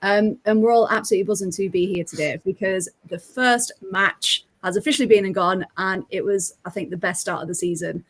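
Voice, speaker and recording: A woman hosting a casual fan podcast, upbeat and quick to laugh, with frequent ums. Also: mid-range voice, fairly even intonation, husky. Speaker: podcast host.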